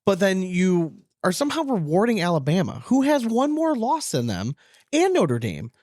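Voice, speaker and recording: A man with a slightly high voice, recorded close and dry, talks sports casually as a co-host. He is opinionated, rants readily and swears freely. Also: wildly swooping pitch, normal speed, a little mellow, dry recording. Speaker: podcast co-host, former team coach.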